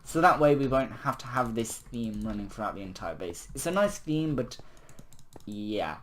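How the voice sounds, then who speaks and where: A young man with a hoarse, fading voice talks casually through a building session in a game. His pitch swings widely, and he keeps mocking himself with dry chuckles. Faint keyboard clicking runs behind him. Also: animated, normal speed, a bit bright, a little hiss or hum. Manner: self-mocking, chuckling, rambling. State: hoarse, voice nearly gone. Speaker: gaming video creator.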